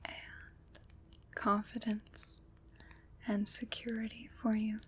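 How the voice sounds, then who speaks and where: A woman whispering gently and reassuringly with deliberate pauses, in an ASMR roleplay as a kind, soothing witch. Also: mid-range voice, little pitch movement, slight background noise. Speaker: ASMR performer.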